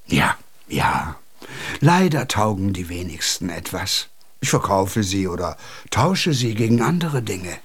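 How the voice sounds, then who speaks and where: An actor playing a whimsical, kindly junk collector in a German radio play, musing and animated with a raspy voice. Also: male voice, sits low, measured pace, exaggerated voice. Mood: eager, proud.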